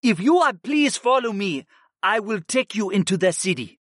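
Slow, formal city guard, as a man puts him on. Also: sits high, sing-song, a bit bright, dry recording, clean studio sound, pitched above the performer's own voice, exaggerated voice.

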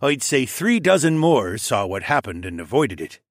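A man's voice: medium pitch, very animated, moderate pace, no room sound, studio quality, pitched above the performer's own voice. A man voicing a willing, self-assured male dragon fighter in a fantasy audiobook. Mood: reporting.

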